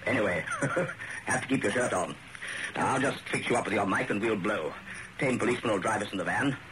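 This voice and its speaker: An actor playing a curt, commanding and dryly wry Major in a radio thriller. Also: male voice, on the low side, fairly quick, lightly acted. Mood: brisk, conspiratorial. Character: brisk, bossy male Major running covert break-ins. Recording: noisy old radio drama recording.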